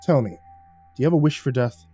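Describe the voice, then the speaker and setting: Tough older man, Eastern European accent, stern and deliberate, acted by a male storyteller. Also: mid-range voice, mellow, husky, faint music behind, close to an everyday voice. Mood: grave, scornful. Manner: formal, deliberate phrasing.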